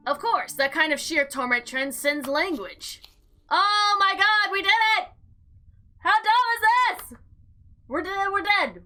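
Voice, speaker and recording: A woman hosting a game playthrough, mixing informal commentary with theatrical, expressive reading aloud. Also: high-pitched. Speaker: gaming video host.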